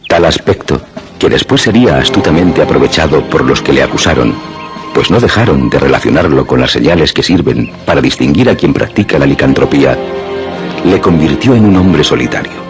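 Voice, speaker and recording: A man narrating a suspense and horror radio drama in a solemn, literary, deliberate manner. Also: very deep voice, expressive. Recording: narration over loud music.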